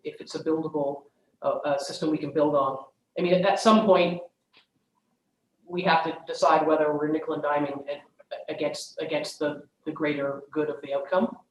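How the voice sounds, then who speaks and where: A man's voice: on the high side, flat delivery, normal speed, roomy sound, slight background noise, poor audio. A man chairing a council meeting, frankly stating his views and calling on others by name. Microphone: video conference mic.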